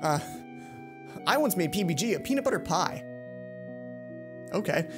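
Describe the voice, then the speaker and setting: A woman with a fairly low voice reads a visual novel aloud over clear background music. She hosts casually, slipping chatty, playful asides in between the narration. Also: very animated, normal speed. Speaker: let's play host. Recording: music behind the voice.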